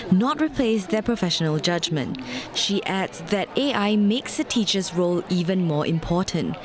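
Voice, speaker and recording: A woman reporter narrating a television news piece with a crisp, even cadence. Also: fairly low pitch. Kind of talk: scripted news voice-over.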